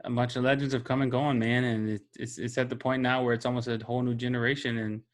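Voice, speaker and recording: A man's voice: mid-range voice, level tone, quick pace. A man co-hosting a casual sports chat, laid-back and chatty. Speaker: podcast co-host.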